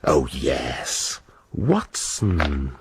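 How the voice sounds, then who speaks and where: An actor playing a dry, erudite middle-aged police inspector in a radio drama, wry and precise. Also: male voice, extremely low pitch, sing-song, extremely slow, vocal fry, noisy background, heavily acted. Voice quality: wry, precise, dryly ironic.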